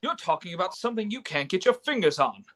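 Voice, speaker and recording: A man's voice: sits high, very animated, quick pace, fairly bright tone. A man playing an earnest, indignant young idealist who stammers, in a live radio play reading. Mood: indignant, impassioned. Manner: stammering, impassioned. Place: remote online reading from home.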